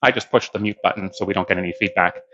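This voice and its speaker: A man with a fairly low voice and a crisp, forceful edge gives an instructional product demo. He explains each step plainly and practically. The sound is very dry and a little band-limited. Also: fast, no echo, highs cut off. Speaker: product demonstrator. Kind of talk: hands-on instructional demonstration.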